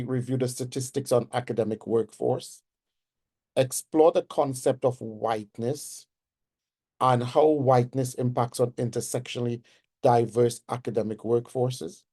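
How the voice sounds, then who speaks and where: Trinidadian man, forties, warm-toned conversational lecturer. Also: mid-range voice. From Trinidad and Tobago. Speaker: guest speaker, nursing professor. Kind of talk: webinar lecture.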